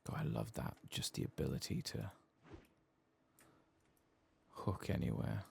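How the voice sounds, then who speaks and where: A soft-spoken man murmuring ASMR commentary as he plays a video game. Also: rumbling low, sing-song, unhurried, vocal fry. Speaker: gaming streamer. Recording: faint background noise.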